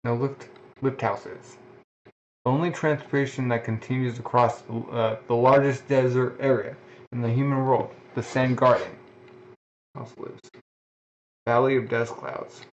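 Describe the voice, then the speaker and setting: A man's voice: medium pitch, steady tone, a little slow, slight background noise. A man casually reading a manga aloud, often stumbling and restarting words. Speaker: reader. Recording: dull lo-fi home recording.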